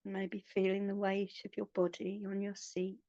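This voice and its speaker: A woman guiding a meditation in a soothing, hushed manner, leaving long pauses between phrases. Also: medium pitch, monotone, no echo, highs cut off. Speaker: meditation guide. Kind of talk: guided meditation.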